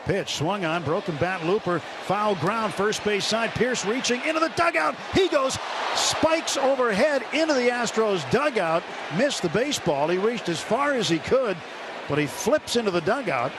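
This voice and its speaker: Man, higher-pitched voice, radio baseball play-by-play, folksy phrasing, very noisy stadium background. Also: expressive, normal speed. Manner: colorful folksy turns of phrase. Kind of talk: live sports commentary. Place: stadium broadcast booth. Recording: loud ballpark crowd and noise. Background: roaring stadium crowd.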